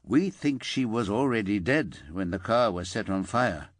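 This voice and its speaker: A man voicing an adult male police detective who speaks calmly and tactfully. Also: lowish voice, dry recording. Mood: gentle, serious. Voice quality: calm, careful, measured.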